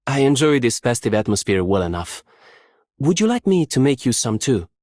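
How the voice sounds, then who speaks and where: A man's voice: medium pitch, sing-song, moderate pace, a little mellow, no echo, clean studio sound, lightly acted. A man voicing an easygoing, obliging craftsman in a video game. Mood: friendly, obliging. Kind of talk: scripted game character voice lines.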